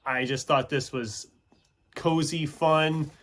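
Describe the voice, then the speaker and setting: A man with a somewhat high voice chats casually as a video host sharing his opinions. He speaks off the cuff in a conversational, rambling way, often breaking off and restarting his thoughts. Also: fairly even intonation, takes it slowly, a bit bright. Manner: rambling, self-interrupting, conversational. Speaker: book reviewer video host. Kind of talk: casual unscripted chat to camera.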